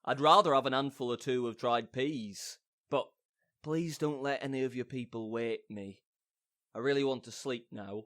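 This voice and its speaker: An actor playing a simple, drowsy donkey-headed man in an audio drama, savoring his words in a drawn-out way. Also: medium pitch, fairly bright tone, no echo, studio quality, natural voice. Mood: sleepy. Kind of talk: modernized Shakespeare audio drama acting.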